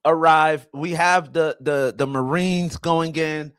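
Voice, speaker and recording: Guy in his 40s streaming, rambly, keeps talking to chat, slightly high voice, dry mic. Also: steady tone, takes it slowly, a bit bright, clean tone, no echo. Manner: rambling, talks to chat, self-correcting. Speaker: livestream host. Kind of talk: casual livestream commentary.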